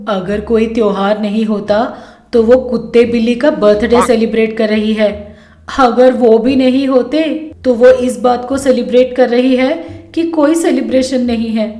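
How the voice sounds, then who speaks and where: A woman voicing a quarrelsome, miserly, middle-aged mother-in-law in an animated storybook tale, scolding and complaining in exasperation. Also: a little high, reverberant, natural voice.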